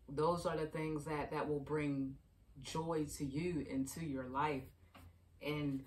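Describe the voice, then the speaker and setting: Low-voiced woman coaching from afar. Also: distant mic. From Baltimore. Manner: chatty, storytelling, frequent "you know what I mean". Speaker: life coach. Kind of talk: casual advice vlog.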